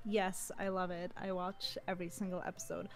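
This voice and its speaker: A young woman with a mixed Belgian and Lithuanian accent, a Lithuanian native, speaking softly and a little hesitantly as a guest in a casual stream interview. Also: fairly low pitch, little pitch movement, brisk, faint music behind.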